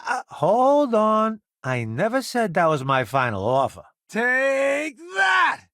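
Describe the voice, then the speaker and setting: A cocky, wildly expressive spacefaring foodie. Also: male voice, a little high, no room sound, clean studio sound, exaggerated voice. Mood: wry, cocky, then combat shouting. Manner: cocky, wry, theatrical showman delivery. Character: brash, self-assured veteran food journalist turned spacefaring crewman. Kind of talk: video game companion voice lines.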